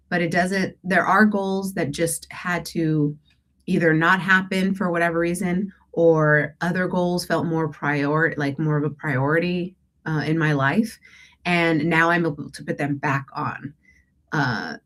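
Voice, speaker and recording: A woman's voice: deep voice, fairly even intonation, slow. A woman coaching listeners on goals and breathing, slightly stuffy from a cold, rambling and self-correcting and often asking "right?". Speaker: declutter coach hosting a call-in show. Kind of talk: casual coaching talk with breathing guidance.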